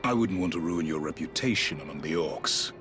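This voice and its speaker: An actor playing a steady, determined male warrior leader in a video game, calm and dry. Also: very deep voice, a little fast. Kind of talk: video game cutscene acting. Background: game score music.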